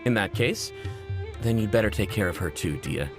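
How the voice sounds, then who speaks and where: A man acting a friendly, rough mercenary in a video game, with a casual, joking manner. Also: on the low side, expressive, moderate pace, close to an everyday voice. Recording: music behind the voice.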